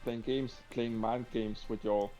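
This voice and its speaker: Flat-voiced Danish man, dry and playful. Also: medium pitch, unhurried, soft background music, rough recording. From Denmark. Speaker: stream moderator. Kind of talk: casual chat on a voice call.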